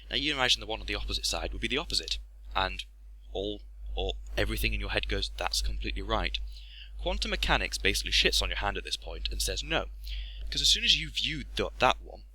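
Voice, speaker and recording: A man's voice: lowish voice, bright, forceful tone, breathy edge, dry recording, rough recording. A man giving a casual, jokey, sweary explainer voice-over in an informal way. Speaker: let's play host explaining physics.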